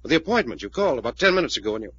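An actor playing a tough, probing investigator in a 1940s radio drama, with a blunt, sardonic, interrogating manner. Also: male voice, mid-range voice, sing-song, racing, fairly bright tone, husky. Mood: firm, accusing. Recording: muffled old-time radio recording.

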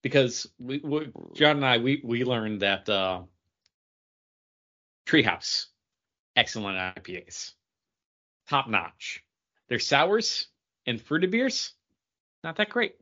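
A man with a bright, punchy voice at middling pitch chats casually as a podcast co-host. He speaks in short, choppy phrases on a somewhat muffled recording. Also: big pitch swings, slow pace, pauses often. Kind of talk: casual chat. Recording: dull lo-fi audio.

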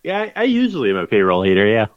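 A man's voice: on the low side, rapid-fire, no room sound. A man co-hosting a sports podcast, ranting in casual chat. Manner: animated, ranting. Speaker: podcast co-host. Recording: dull, dry lo-fi audio.

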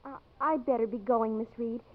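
Actress as a very high-voiced polite college girl. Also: fairly even intonation, normal speed, phone-quality sound, close to an everyday voice. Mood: polite, flustered. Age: young adult. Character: shy, polite college-age daughter of the president. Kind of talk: 1950s radio drama acting. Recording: thin, band-limited vintage radio recording.

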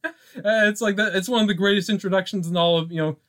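A man co-hosting a podcast, talking casually about film in a halting way, thinking aloud with frequent asides. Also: sits high, a bit bright. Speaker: podcast co-host.

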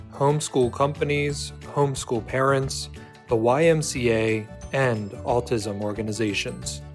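Man reading an ad over guitar. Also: mid-range voice. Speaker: ad announcer. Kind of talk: scripted advertisement read. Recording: faint guitar music behind.

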